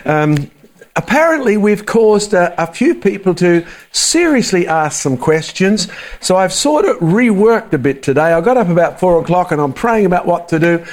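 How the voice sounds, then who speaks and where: A man with a mild Australian accent giving a casual, joking church talk to an audience. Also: a little high. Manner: chatty, joking asides to the audience. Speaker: creationist speaker.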